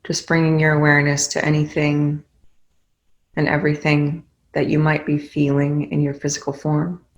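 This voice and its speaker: A meditation guide leading a guided meditation in gentle, instructional phrases with pauses between them. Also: medium pitch, monotone, highs cut off.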